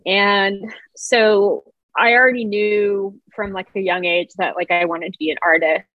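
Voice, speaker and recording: A woman's voice: mid-range voice, steady tone, measured pace, dry recording, dull audio. A woman from the New Orleans area, a guest on a podcast, talking casually and rambling with frequent laughs. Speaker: guest, designer and paper engineer. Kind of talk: casual conversation.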